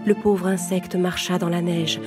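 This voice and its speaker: A woman's voice: on the low side, flat delivery, unhurried. A woman narrating a scripted children's fable in French storybook style. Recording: loud music behind the voice. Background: music.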